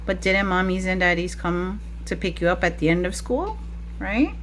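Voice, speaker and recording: Woman, preschool teacher, slightly low pitch, interactive read-aloud for young children, question-filled teacherly delivery, faint background noise. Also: animated, moderate pace, fairly bright tone.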